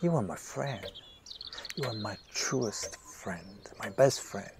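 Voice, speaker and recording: A man's voice: on the low side, expressive, slow pace, background noise. A man playing a distracted, weak-willed, infatuated writer in an audio drama, hesitant and imploring. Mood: pleading.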